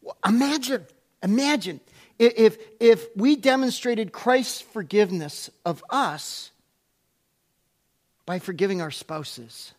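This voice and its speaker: A middle-aged man preaching to his congregation in a strikingly high voice. He speaks loudly, and his voice climbs further when he gets excited. He asks rhetorical questions and repeats them for emphasis. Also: slow, long pauses. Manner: loud voice that rises when excited. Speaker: pastor. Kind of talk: sermon.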